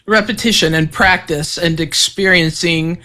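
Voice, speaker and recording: A woman hosting a podcast interview, talking in a calm, reflective way with soft fillers. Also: lowish voice, a little slow. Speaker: podcast host.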